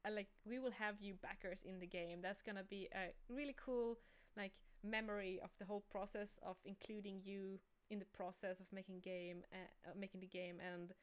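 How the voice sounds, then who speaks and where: A woman's voice: medium pitch, fairly even intonation, normal speed, clear, resonant tone. A woman co-hosting a casual livestream as a game developer, talking in an upbeat, enthusiastic way.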